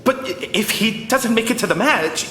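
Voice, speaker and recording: Spoiled cartoon teen boy, high bright voice, whiny and indignant, echoey hall. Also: animated, normal speed. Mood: protesting. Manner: indignant, petulant. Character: spoiled, pressured teenage son of a powerful boss. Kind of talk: animated drama voice acting. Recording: echoey hall with faint noise.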